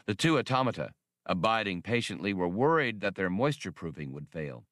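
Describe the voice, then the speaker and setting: A man's voice: low voice, very animated, fairly quick, fairly bright tone, no room sound, clean studio sound. A man reading an adventure novel aloud as scripted narration. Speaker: audiobook narrator.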